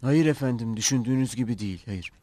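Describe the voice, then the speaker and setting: An actor playing a young, virtuous servant in an animated film, earnest and restrained. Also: male voice, mid-range voice, fast, natural voice. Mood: upset refusal. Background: music.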